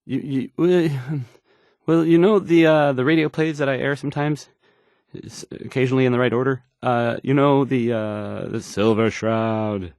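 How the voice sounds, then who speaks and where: An actor playing a nervous, bumbling, insecure young radio DJ in a game, hesitant and stammering through the news. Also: male voice, mid-range voice, fairly even intonation, moderate pace, a little mellow, no echo, studio quality, lightly acted. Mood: awkward. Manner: stammering, hesitant, self-doubting. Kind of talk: halting radio news announcing.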